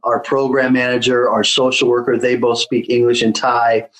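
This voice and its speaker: A man's voice: mid-range voice, flat delivery, normal speed, a bit bright, poor audio. A man being interviewed, telling his story in a casual way with many fillers and restarts. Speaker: guest, children's home founder. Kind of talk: conversational interview.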